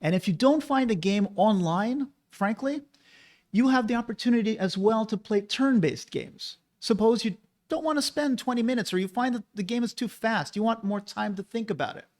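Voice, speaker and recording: A man's voice: sits high, normal speed, darkish tone. A man hosting his own channel, talking casually and persuasively to the viewer, asking rhetorical questions. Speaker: board game channel host. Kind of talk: casual opinion monologue.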